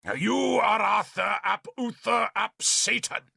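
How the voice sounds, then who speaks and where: A man booming as a fanatical gravelly bishop. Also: lively intonation, moderate pace, sharp tone, no echo, clean studio sound, exaggerated voice. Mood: wrathful. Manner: booming preacher's denunciations. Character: fanatical middle-aged male bishop, booming and wrathful.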